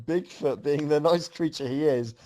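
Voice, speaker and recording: A man's voice: fairly high pitch, moderate pace, mellow. Man reading a story aloud, amused. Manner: amused, breaking into laughter while reading. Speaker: host reading fan fiction aloud to a group. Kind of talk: comic story reading with casual reactions.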